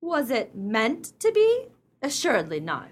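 A woman acting in a radio play as a haughty, high-minded society matron with a prim, emphatic delivery. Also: wildly swooping pitch, a little slow, slightly rough, exaggerated voice. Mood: disapproving.

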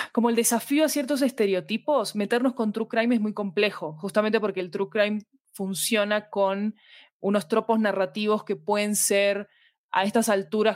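A woman's voice: medium pitch, no echo, clean studio sound. A woman giving conversational interview answers, explaining things and checking in with short tag questions. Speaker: podcast fiction director being interviewed.

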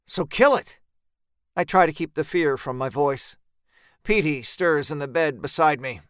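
A man narrates a dark first-person tale with measured tension. His voice is smooth and resonant, sitting a little high for a man. The recording is very dry and close, with every word cleanly shaped. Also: dry recording. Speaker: story narrator. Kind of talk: dramatic first-person horror audiobook narration.

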